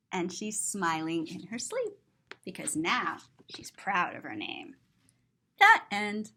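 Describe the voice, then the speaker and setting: A woman's voice: medium pitch, pushed and bright, slight background noise. A woman reading a children's picture book aloud as a story-time host, expressively and with simple explanations for young listeners.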